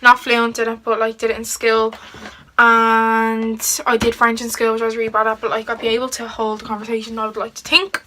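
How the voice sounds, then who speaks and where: Bright-voiced teenage girl vlogger. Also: a little high, completely flat delivery, fairly quick, a little hiss or hum. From Ireland. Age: teens. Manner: chatty, giggly, lots of "like" fillers. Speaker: beauty vlogger. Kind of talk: casual get-ready-with-me chat.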